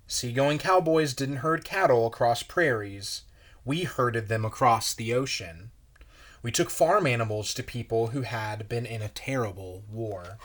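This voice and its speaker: Slow-paced man reading to children. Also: medium pitch, a bit bright. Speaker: pastor reading a children's book aloud. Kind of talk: children's story read-aloud.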